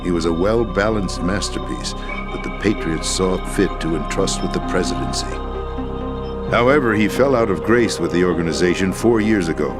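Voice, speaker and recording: An actor playing a weary, formal deposed president and schemer in a video game, explaining things in a measured, deliberate way. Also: male voice, low-pitched, lively intonation, loud music behind the voice. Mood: grave, measured. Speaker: video game character in a cutscene. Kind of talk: dramatic expository video game acting.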